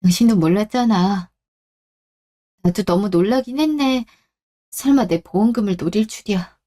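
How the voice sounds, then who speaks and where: Woman playing young wife, radio drama, mid pitch, wronged, plain-spoken, firm. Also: moderate pace, warm tone, close to an everyday voice. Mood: weary. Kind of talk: Korean radio-drama story acting.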